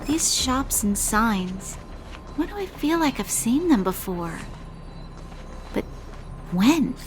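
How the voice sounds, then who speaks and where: A gentle, idealistic young noblewoman from a video game, speaks in a fairly high voice. Her delivery is earnest and measured, with formal phrasing, over faint music. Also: big pitch swings. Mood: puzzled.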